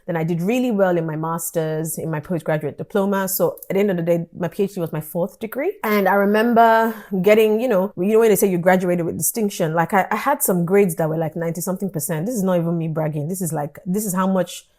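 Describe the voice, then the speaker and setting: A woman in her thirties with a Nigerian accent, telling a personal story in a casual vlog, animated and dropping into pidgin asides. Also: quick pace, hardly any pauses. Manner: animated, pidgin asides, acts out her past self with sudden high squeals.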